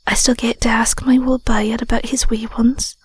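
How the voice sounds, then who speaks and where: A woman voicing an affectionate, bashful young Scottish werewolf girlfriend in an intimate audio roleplay, soft, whispery and a little hesitant, close on the mic. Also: fairly high pitch, level tone, normal speed, breathy edge, no echo. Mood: sincere, tender. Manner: hesitant, stammering, murmuring endearments. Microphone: close microphone.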